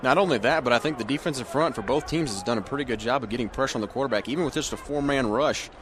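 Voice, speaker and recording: A man's voice: mid-range voice, very fast, a crowd in the background. A man giving football color commentary and analysis, recorded with ambient noise behind him. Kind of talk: live sports commentary, analysis.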